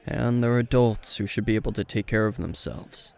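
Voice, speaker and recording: A man playing a weary, gruff veteran hero and teacher at a bar, terse and dry, in an intimate roleplay spoken to the listener. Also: lowish voice, moderate pace, darkish tone. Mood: downcast, brooding. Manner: terse, guarded, dry, sighing.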